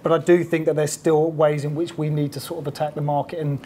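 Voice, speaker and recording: Man with a fairly high, mellow voice talking shop on a conference stage. He is measured and businesslike, and a faint rumble sits under his words. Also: little pitch movement. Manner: measured, businesslike, restarts often. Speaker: panelist. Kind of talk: conference panel discussion.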